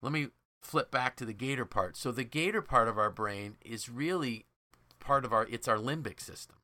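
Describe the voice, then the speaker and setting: A man's voice: mid-range voice, normal speed, bright, forceful tone, no echo. A man hosting a podcast, explaining ideas from a book in a conversational, emphatic way. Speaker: podcast host.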